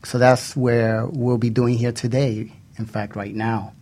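A man's voice: mid-range voice, flat delivery, measured pace, warm tone. A man co-hosting a radio show in a studio with casual, flirtatious banter.

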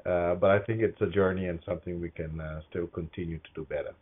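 A middle-aged man from Guyana answering interview questions as a corporate executive, speaking in a measured, hesitant way with frequent pauses. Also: deep voice, fairly even intonation, slightly rough, narrow, tinny audio.

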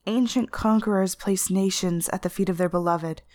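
A woman reading literary first-person fiction as narrator, with an intense, deliberate and expressive dramatic delivery. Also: medium pitch, no room sound, clean studio sound. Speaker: story narrator.

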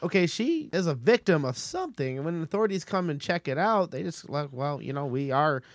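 A man casually telling a history story on a podcast in a jokey, animated way. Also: on the high side, fairly quick, no room sound, studio quality.

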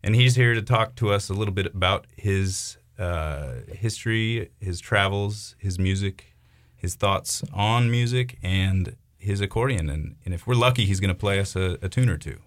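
A man hosting a community radio interview, asking questions in a friendly, steady way. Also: lowish voice, normal speed. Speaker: radio host.

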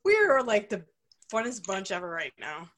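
A woman's voice: medium pitch, huge pitch swings, normal speed, a bit bright. A woman in her forties chatting casually, teasing the others and laughing often. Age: 40s. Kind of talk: casual chat.

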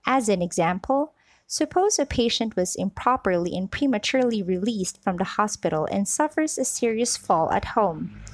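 A woman voice-over artist reading a corporate training script in a clear, measured, earnest way, close to the mic. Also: mid-range voice, dry recording.

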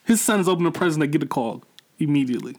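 A young man chatting casually as a podcast co-host, excitable and rambling, quick to get loud and laugh. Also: on the high side, slight background noise. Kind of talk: casual chat.

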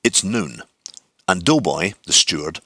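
A man reading literary narration from a script, with deliberate, theatrical phrasing. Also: deep voice, lively intonation, sharp tone, no echo, clean studio sound. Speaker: audiobook reader.

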